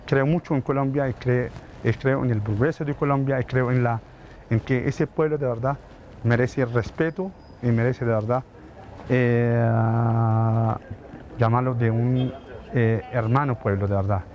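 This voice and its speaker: A man's voice: medium pitch, steady tone, measured pace, dull audio. Middle aged palestinian guy, heartfelt n emphatic, bit of traffic noise. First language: Arabic. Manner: earnest, emphatic, repetitive phrasing. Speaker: immigrant interviewee. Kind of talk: heartfelt interview testimony.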